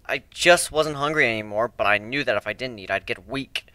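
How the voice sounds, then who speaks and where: A man's voice: medium pitch, fairly quick, bright, forceful tone, dry recording. A man giving an amateur dramatic reading of a scripted first-person fantasy story.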